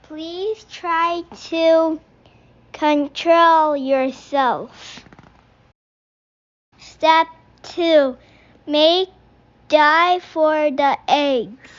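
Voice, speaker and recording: A woman voicing a patient but exasperated rabbit instructor from a picture book, speaking in deliberate, halting steps. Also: sits high, lo-fi sound.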